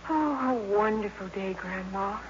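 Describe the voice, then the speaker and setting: A woman's voice: mid-range voice, measured pace, slight background noise, natural voice. An actress playing an earnest, easily flustered teenage girl in a 1950s radio drama. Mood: dreamy, happy. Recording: muffled vintage radio, music behind.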